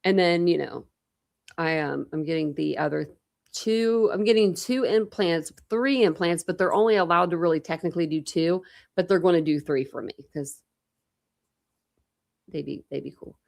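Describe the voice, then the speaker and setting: A woman's voice: lowish voice, moderate pace, vocal fry, darkish tone. A young woman with a slight lisp, chatting casually with viewers during a livestream and replying to their comments. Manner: chatty, rambling, answers chat comments. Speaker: true crime livestream host.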